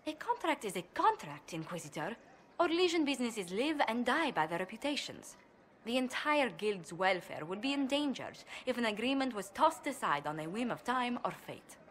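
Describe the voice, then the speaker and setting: A woman's voice: fairly high pitch, very animated, moderate pace, a bit bright. An anxious noblewoman, echoing hall. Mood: measured, concerned. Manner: formal, precise, earnest. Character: proud, anxious young noblewoman diplomat, dutiful and composed. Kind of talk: video game cutscene acting.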